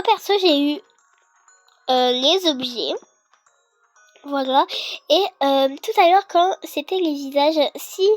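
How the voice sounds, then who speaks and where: A young girl with a congested, sick voice, casually explaining a video game in a hesitant, rambling way. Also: high-pitched. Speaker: gaming video creator. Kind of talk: casual game tutorial commentary.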